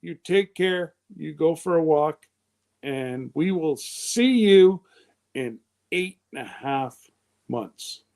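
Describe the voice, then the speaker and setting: A man's voice: on the high side, expressive, slow, darkish tone. A man in his fifties hosting a live stream, chatting casually in a loose, rambling way. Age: 50s.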